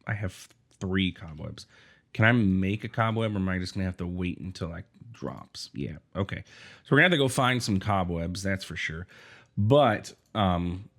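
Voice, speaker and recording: A man's voice: sits low, lively intonation, normal speed, a bit bright. A man with a head cold, sounding congested, casually commenting on his gameplay as the host of a video series. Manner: chatty, rambling, friendly asides. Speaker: let's play host.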